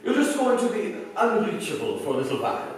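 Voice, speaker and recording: Guy doing polite menacing nazi spy, radio play, highish voice, swoops around, very formal. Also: wildly swooping pitch, fast talker. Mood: polite menace. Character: courteous, menacing male Nazi agent, coolly formal. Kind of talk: staged 1940s-style radio play acting. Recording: echoey hall, distant mic, faint noise.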